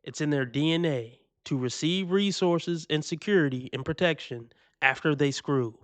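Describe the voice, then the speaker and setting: A man reacts to clips on his stream in loose, slangy talk, chuckling and saying things twice for weight. His voice is a touch high and smooth, recorded close and dry with no room sound. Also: moderate pace, no echo. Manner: slangy, laughing, repeats himself for emphasis. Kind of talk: casual reaction commentary.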